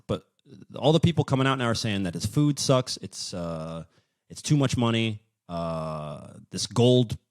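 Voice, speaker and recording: A man hosts a podcast and rambles along in a casual, joking way, often poking fun at himself. His voice sits fairly low. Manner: joking, self-deprecating, rambling. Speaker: podcast host.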